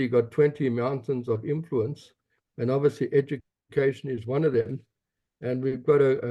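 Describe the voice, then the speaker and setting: A man from South Africa with a South African accent, speaking up as an attendee in an online meeting, earnest, with pauses and restarts. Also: mid-range voice, highs cut off. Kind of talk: comment during online meeting.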